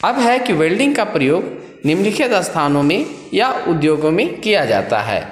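A man's voice: on the high side, very animated, normal speed. Echoey man reading out a welding lesson. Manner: explains step by step, reading from a page. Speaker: trade instructor. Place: voice-over over scanned book pages. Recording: echoey room with long reverb.

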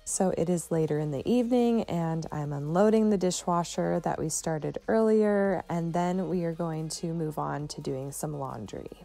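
A stay-at-home mom giving a chatty, relaxed voice-over for her home vlog, often saying "like" and "you know". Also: deep voice, normal speed, vocal fry, hardly any pauses, faint music behind. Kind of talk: casual voice-over narration.

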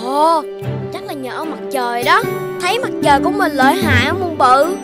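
Cartoon puppy schoolboy, very high pitch, lively swings, exclamatory, competitive, Southern Vietnamese accent. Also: brisk. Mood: excited, proud. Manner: exclamatory, boastful, childlike. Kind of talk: children's animated dubbing. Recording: music behind the voice.

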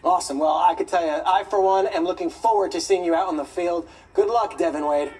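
A man's voice: high-pitched, a little fast, a little mellow, breathy edge, recorded from a distance, rough recording. A man playing a polished, upbeat football show interviewer in a sports game.

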